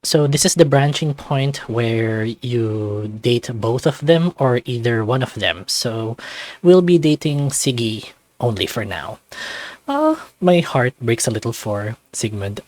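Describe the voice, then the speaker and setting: A man's voice: medium pitch, very animated, slow, a little mellow. A man hosting a casual playthrough, reading a visual novel's narration aloud.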